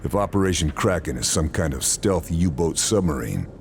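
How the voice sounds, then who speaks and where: A man's voice: low-pitched, animated, normal speed, gravelly, a little mellow, a little hiss or hum. A male actor playing a stoic, determined lone soldier in a video game, terse and controlled. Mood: grave. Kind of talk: video game cutscene acting and briefing narration.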